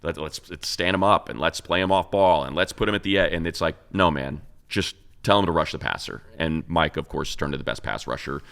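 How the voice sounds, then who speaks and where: A man's voice: rumbling low, brisk, vocal fry, hardly any pauses, fairly bright tone. A man co-hosting a sports talk show, giving casual, conversational football analysis and thinking aloud as he goes.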